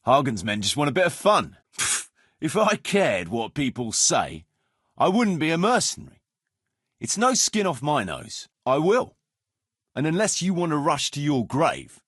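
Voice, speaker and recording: An actor playing a wary, self-serving medieval mercenary captain in a video game, talking in a sardonic, pragmatic, patronizing way. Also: male voice, a little high, fairly bright tone, breathy edge, no echo, clean studio sound, full character voice. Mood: pragmatic, dismissive. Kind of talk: video game character dialogue.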